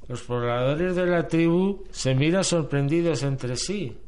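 A man's voice: a little high, measured pace, a little mellow, smooth. A man reading scripted storytelling narration as the narrator of an amateur radio drama. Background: music.

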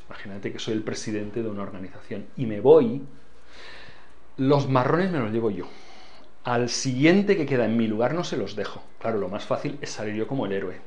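A man's voice: lowish voice, darkish tone. Earnest older man with a foreign accent. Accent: foreign accent in Spanish. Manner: earnest, repeats key phrases for emphasis. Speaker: church leader. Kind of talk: interview answers.

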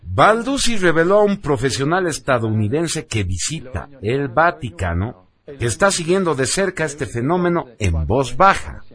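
A man's voice: fairly high pitch, very animated, moderate pace, fairly bright tone. A man presenting radio news, reading scripted narration.

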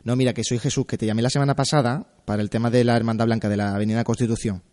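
A man's voice: mid-range voice, steady tone, very fast, a little mellow. A man with an accent from Spain, chatting casually and teasingly as a radio morning show host doing prank calls. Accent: Spanish from Spain. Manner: teasing, colloquial. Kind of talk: prank call patter, casual radio chat. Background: music.

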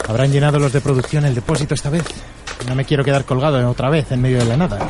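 A man's voice: mid-range voice, steady tone, racing, background noise, close to an everyday voice. A man with an accent from Spain playing a harried, grumbling team worker in a radio drama. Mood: businesslike, wary. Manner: exasperated, colloquial.

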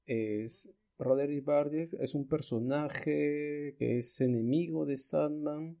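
A man's voice: on the high side, takes it slowly, vocal fry, mellow. A man co-hosting a podcast in casual chat, often repeating and rephrasing what he says. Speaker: podcast co-host.

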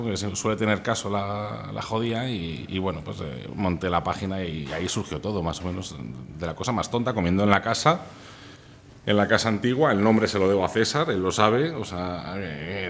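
Male interview guest, Spain accent, very low voice. Candid, chatty, colloquial delivery over light noise. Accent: Spanish from Spain. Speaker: interview guest, food recommendation influencer. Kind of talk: casual interview chat, anecdotes.

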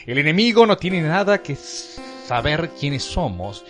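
Actor as a high-voiced, smug undercover aristocrat. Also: male voice, very animated, a little slow, fairly bright tone, soft background music. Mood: conspiratorial. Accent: Argentine. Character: smug, unflappable undercover aristocrat, heroic and wry. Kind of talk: comic radio play acting.

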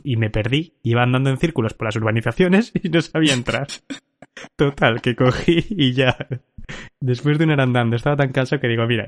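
A man hosting a casual podcast, telling a drunken travel anecdote with frequent laughs and swearing. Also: mid-range voice. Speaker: podcast host. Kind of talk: casual chat, storytelling.

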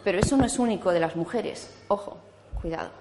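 A woman's voice: fairly low pitch, quick pace, noisy background. Spanish lady in her 40s giving a talk, lots of ehs and restarts, echoey hall. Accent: Spanish from Zaragoza. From Spain. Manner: chatty, many fillers and restarts. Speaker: conference speaker. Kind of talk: casual conference talk. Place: conference hall.

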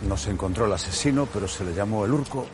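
A man's voice: on the low side, quick pace, background noise, close to an everyday voice. An actor playing a grave man recounting old murders in a thriller trailer. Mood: grave, ominous. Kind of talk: dramatic exposition in a thriller trailer.

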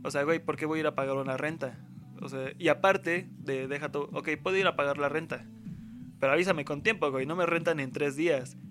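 A man's voice: on the high side, brisk, over music. A young adult man with a Mexican accent, a university student talking casually in a rambling, slangy way and acting out what others said. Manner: slangy, rambling, acts out quoted speech. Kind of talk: casual conversation.

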